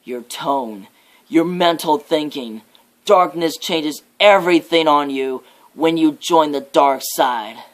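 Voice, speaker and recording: A man voicing a menacing devil in an ASMR horror roleplay, with an ominous, drawn-out, theatrical delivery. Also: on the high side. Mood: fierce menace. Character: menacing devil, a living nightmare preaching fear and death.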